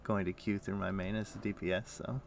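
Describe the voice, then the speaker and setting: A man's voice: fairly low pitch, flat delivery, fairly quick, vocal fry, a little hiss or hum. A man streaming a game, chatting casually and thinking aloud in an easygoing, musing way. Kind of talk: casual chat while playing an online game.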